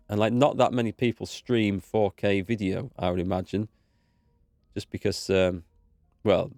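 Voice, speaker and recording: A man's voice: lowish voice, a little mellow, no room sound, studio quality. A man with a cold and a blocked-up nose, casually commenting on his game as he plays and thinks aloud. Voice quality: slightly blocked, stuffy nose. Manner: easygoing, thinking aloud, reads in-game text. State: recovering from a cold, voice not back. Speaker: gaming video host. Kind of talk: relaxed let's play commentary.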